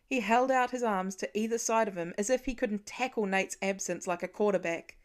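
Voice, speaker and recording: A woman reads a piece of fiction as its narrator. Her voice sits at an average pitch for a woman, and her scripted delivery is expressive and builds suspense. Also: fairly quick. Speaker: audiobook narrator.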